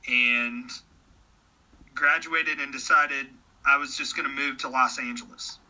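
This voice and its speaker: A man's voice: mid-range voice, fairly even intonation, measured pace, sharp tone, dull audio. A man in his thirties in casual conversation, speaking earnestly about his beliefs and often repeating words as he starts a sentence. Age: 30s. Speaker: podcast guest.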